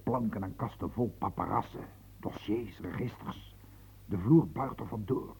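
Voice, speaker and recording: An actor playing an embittered, overworked secretary ranting sarcastically, in a radio drama. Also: male voice, lowish voice, a little slow, creaky, darkish tone, a little hiss or hum. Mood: scornful.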